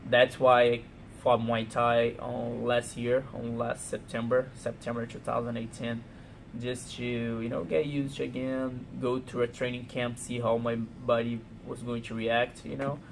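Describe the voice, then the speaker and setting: Brazilian guy w/ portuguese accent, gravelly voice, kinda flat, faint hiss behind him. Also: medium pitch. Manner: frequent "you know" fillers, halting non-native English. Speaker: pro fighter. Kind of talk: casual interview answers.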